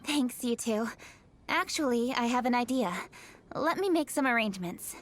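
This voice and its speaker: A woman's voice: on the high side, expressive, normal speed, slight background noise, lightly acted. A woman playing a poised young noblewoman leader in a video game, serious and dutiful, speaking formally and firmly. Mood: resolute. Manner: composed, formal, firm. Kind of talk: video game character acting.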